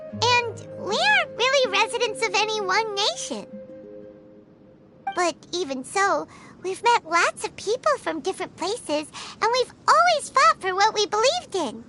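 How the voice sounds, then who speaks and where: A tiny floating companion creature from a video game, squeaky and eager as it chatters away. The voice sits extremely high and leaps all over the place, with loud game music playing behind. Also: female voice, heavily acted. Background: game background music.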